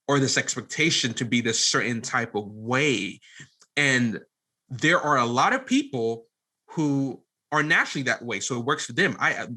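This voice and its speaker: A man's voice: medium pitch, sharp tone. A man speaking as a podcast guest, candid and earnest, often qualifying and circling back on his own points. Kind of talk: candid conversational interview.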